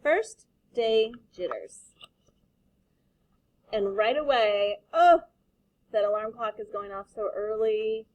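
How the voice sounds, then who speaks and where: Expressive woman reading aloud, fairly high voice. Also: slow, pauses often, highs cut off. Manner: expressive, explanatory. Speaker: read-aloud teacher. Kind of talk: picture book read-aloud with commentary for children.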